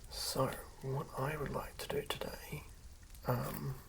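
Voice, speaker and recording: A person whispering softly and haltingly in an unhurried ASMR style, as a host talking through and reading tabletop game material. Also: mid-range voice, flat delivery. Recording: lo-fi whisper with clear background noise.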